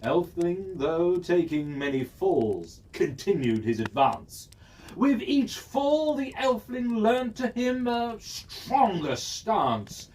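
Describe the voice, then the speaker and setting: A storyteller reading a rhyming fairy tale for children in a measured, sing-song cadence. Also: mid-range voice, sing-song, a little mellow. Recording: distant mic, faint hiss.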